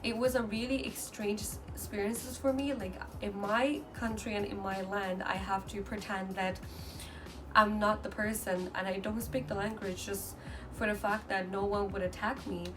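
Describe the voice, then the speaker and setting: A woman's voice: medium pitch, fairly even intonation, music in the background. Distant woman, earnest vlogger. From mainland China. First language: Mandarin. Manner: earnest, halting, with frequent restarts. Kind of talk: casual personal vlog. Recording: distant mic with clear background noise.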